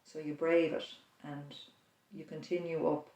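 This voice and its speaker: A prayer leader talks the listener through a quiet, imagined scene. The pace is slow, with reflective pauses, and the voice stays flat and gentle. It is recorded from a distance in a room with a strong echo. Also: medium pitch. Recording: roomy echo, distant mic.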